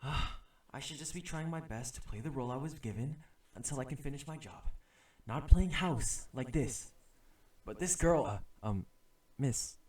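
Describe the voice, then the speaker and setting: A man's voice: medium pitch, lively intonation, moderate pace, warm tone, lightly acted. A man playing an exasperated assassin who poses as a dutiful bodyguard in an ASMR audio roleplay, alternating polite formal address with grumbling inner thoughts. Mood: flustered, incredulous.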